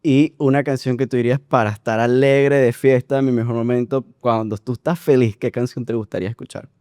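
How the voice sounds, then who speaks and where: A man's voice: mid-range voice, fairly even intonation, a little fast, darkish tone, clean tone, no echo, clean studio sound. A man hosting a podcast, asking long, rambling questions in casual talk. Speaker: podcast host. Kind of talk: casual interview.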